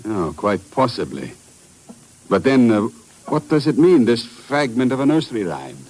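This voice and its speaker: An actor playing a courteous, inquisitive police inspector in a 1950s radio thriller, speaking formally and deliberately. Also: male voice, mid-range voice, very animated, a little mellow. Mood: puzzled, thoughtful. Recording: noisy old radio recording.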